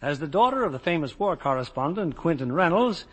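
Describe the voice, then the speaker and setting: An American man hosting a 1950 radio broadcast, reading scripted announcements in a husky voice. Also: on the high side, very animated, fairly quick.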